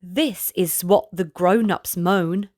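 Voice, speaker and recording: A woman reading a children's picture book aloud as a storyteller, in a gentle, deliberate manner addressed to young listeners. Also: lowish voice, no room sound, studio quality. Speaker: children's storybook reader, channel host. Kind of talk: read-aloud bedtime story narration for children.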